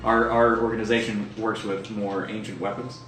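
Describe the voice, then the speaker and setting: A man playing a tabletop game, casually improvising a character description in a halting, rambling way. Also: fairly low pitch, little pitch movement, a bit bright. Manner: halting, rambling, filler-heavy. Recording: echoey room, music behind.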